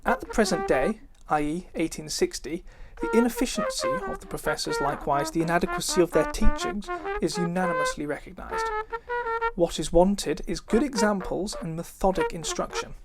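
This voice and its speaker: Low female voice, formal read-aloud, occasional stumbles, wildly swinging pitch, loud cornet playing throughout. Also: huge pitch swings, moderate pace, over loud music, rough recording. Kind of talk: reading an old instrumental method book introduction aloud. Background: live brass cornet playing.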